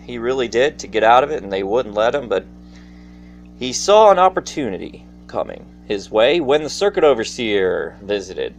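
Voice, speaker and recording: Male casual storyteller, mid-pitched and highly animated, folksy and theatrical, talking directly to listeners. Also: normal speed. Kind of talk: casual personal storytelling monologue to an audience. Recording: faint background noise.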